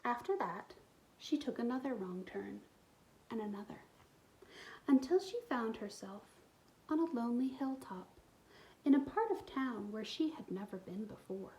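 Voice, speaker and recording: Mellow, higher-voiced woman storyteller. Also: a little slow, pauses often, rough recording. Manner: expressive, explains to young children. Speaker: storytime reader for a school community. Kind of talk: children's picture book read-aloud with asides.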